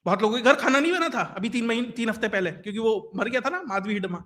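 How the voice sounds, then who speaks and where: A man's voice: very high-pitched, lively intonation, fast, fairly bright tone. A man giving conversational, explanatory news commentary, emphatic, with rhetorical questions and lists. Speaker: commentator and host of an online current affairs show.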